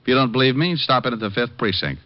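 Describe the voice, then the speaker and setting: An actor playing a wisecracking private detective who also narrates, in a 1950s radio drama. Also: male voice, mid-range voice, animated, fast, no echo, lightly acted. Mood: joking. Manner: dry wisecracks, laconic. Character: wisecracking tough private detective, adult man. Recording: dull old radio recording.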